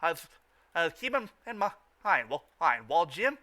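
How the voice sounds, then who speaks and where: Bright, high-pitched man stammering through fan commentary. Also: big pitch swings, normal speed. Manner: stammering, often repeats phrases. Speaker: host of a fan riffing show.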